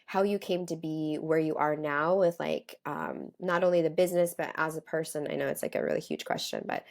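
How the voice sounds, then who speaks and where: Woman host, slightly low voice, calm and soothing, chatty podcast style. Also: brisk, vocal fry. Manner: chatty, lots of "like" fillers. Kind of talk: casual podcast interview.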